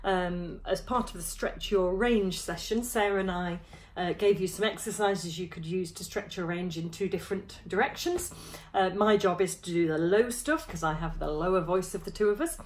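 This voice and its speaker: Friendly female choir coach, thirties, lower voice, relaxed teaching tone, laptop-mic home recording. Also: normal speed, a little hiss or hum. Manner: friendly, demonstrative, touching throat and jaw while explaining. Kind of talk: vocal warm-up lesson to camera. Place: small room at home, indoors.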